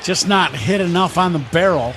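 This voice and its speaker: Very high-voiced American male baseball analyst. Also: expressive, moderate pace, over music. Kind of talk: conversational live game analysis. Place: broadcast booth at a ballpark. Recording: live broadcast over crowd and noise. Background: stadium crowd and clear noise.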